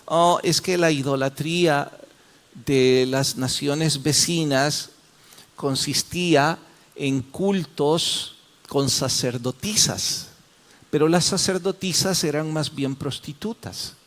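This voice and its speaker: Male pastor teaching a class: fairly high voice, unhurried, quizzes listeners by name. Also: fairly bright tone. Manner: questions the class and calls on students by name; slow, deliberate explanations. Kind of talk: interactive Bible study.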